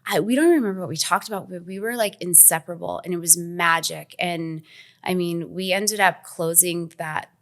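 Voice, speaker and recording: A woman's voice: lowish voice, normal speed. Chatty middle-aged woman, rambling. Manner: rambling, filler-heavy, trailing sentences. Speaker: podcast guest, business owner. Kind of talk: casual conversation.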